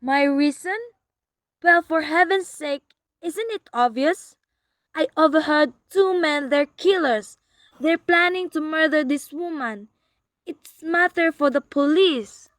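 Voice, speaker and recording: A high, bright, agitated society wife played by a woman in a radio thriller. She is imperious and demanding, pressing her urgent case with indignation, recorded very dry and close. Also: slow, dry recording. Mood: agitated, impatient. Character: frantic, imperious wealthy married woman reporting a murder plot. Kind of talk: radio play acting, suspense thriller.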